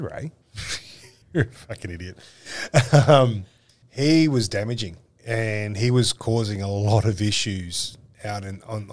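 Laid-back, rambling man. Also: lowish voice. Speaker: podcast co-host. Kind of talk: casual sports podcast chat.

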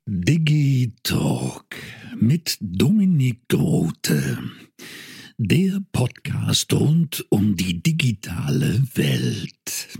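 A man's voice: fairly low pitch, huge pitch swings, very slow, gravelly, darkish tone, husky, dry recording, studio quality, exaggerated voice. A man voicing a sinister, demonic villain doctor in radio play style. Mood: menacing.

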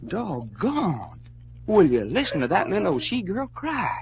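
An actor playing a brash, soft-hearted adventurer with a folksy Southern drawl in an old-time radio adventure. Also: male voice, sits high, wildly swooping pitch, measured pace, gravelly, exaggerated voice. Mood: concerned, curious. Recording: muffled old radio recording with hum, noise.

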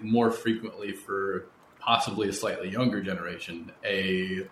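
A man's voice: low voice, fairly bright tone. A man hosting a conversational podcast interview, talking in a thoughtful, discursive way. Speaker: interview host, horror writer. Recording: roomy echo with background noise.

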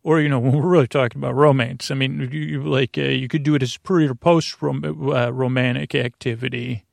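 Gravelly, rambling man hosting a sleep podcast. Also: medium pitch, steady tone, dry recording, clean studio sound. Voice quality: gravelly, creaky voice. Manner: halting, stammering, trails off and loses his thread. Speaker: host of a bedtime sleep podcast. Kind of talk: rambling, meandering monologue to lull listeners to sleep.

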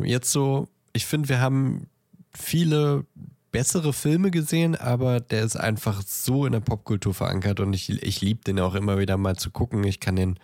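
A man chatting casually as a podcast co-host, thinking aloud in a halting way as he ranks things. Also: fairly low pitch, creaky, a little mellow, dry recording, studio quality. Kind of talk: casual chat, ranking films.

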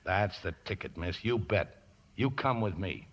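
An actor playing a rough, easygoing riverboat mechanic in a 1950s radio drama, deferential and folksy, with ungrammatical speech. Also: male voice, low voice, animated, a little slow. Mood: urgent, helpful. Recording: dull, noisy vintage radio broadcast.

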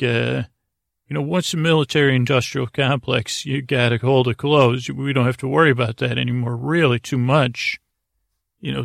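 A man with a very creaky, gravelly voice talks through a meandering bedtime podcast monologue. He stammers, doubles back and leaves thoughts half finished. The sound is dry and close, with no room echo. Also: medium pitch, fairly bright tone. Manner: meandering, halting, stammering with repeated false starts. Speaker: podcast host.

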